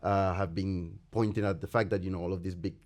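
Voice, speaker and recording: A man's voice: deep voice, steady tone, a little fast, a little mellow. A man in the audience of a lecture, asking a long rambling question and thinking aloud with many asides. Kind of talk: long spoken question at a talk. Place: lecture room.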